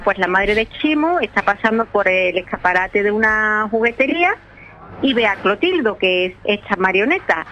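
A woman author from Seville with an Andalusian accent, chatting lively in a radio interview and often laughing. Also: medium pitch, muffled recording, slight background noise. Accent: Andalusian Spanish from Seville. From Spain. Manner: lively, laughs often, talks in quick bursts. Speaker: children's author, guest.

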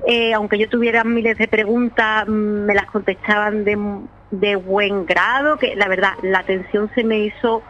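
A woman author from Seville with an Andalusian accent, chatting lively in a radio interview and often laughing. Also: medium pitch, muffled recording, a little hiss or hum. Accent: Andalusian Spanish from Seville. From Spain. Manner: lively, laughs often, talks in quick bursts. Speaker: children's author, guest.

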